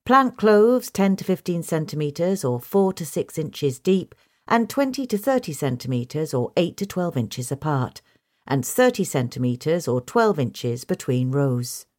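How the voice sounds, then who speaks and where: A woman's voice: low voice, big pitch swings, a little slow, darkish tone. A woman reading a gardening article aloud as scripted narration. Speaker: article reader.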